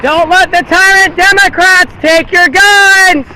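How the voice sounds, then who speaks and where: A man's voice: extremely high pitch, steady tone, slow pace, sharp tone. An American man protesting on the street, declaiming repeated slogans and chatting with passersby outdoors. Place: outdoors by a busy road. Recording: noisy outdoor street, traffic behind.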